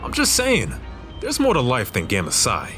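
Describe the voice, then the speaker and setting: A man's voice: mid-range voice, huge pitch swings, normal speed, over music. A young man acting in an audio drama as a casual, level-headed fraternity brother who is restless to move on. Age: young adult.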